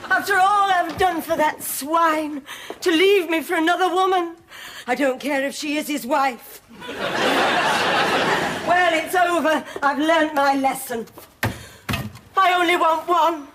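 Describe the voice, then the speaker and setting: An actress playing a man-mad, melodramatic woman who has just been jilted, speaking with breathy, theatrical self-pity. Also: extremely high pitch, slow pace, pauses often. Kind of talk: 1990s British TV sitcom acting. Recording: noisy TV sitcom track with audience laughter.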